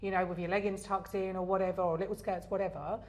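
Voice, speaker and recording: A woman's voice: mid-range voice, level tone, quick pace, a little mellow. A middle-aged woman from England with a Southern English accent, co-hosting a podcast and telling stories in casual chat, with lots of fillers and restarts. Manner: chatty storyteller with frequent fillers and restarts. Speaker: podcast co-host.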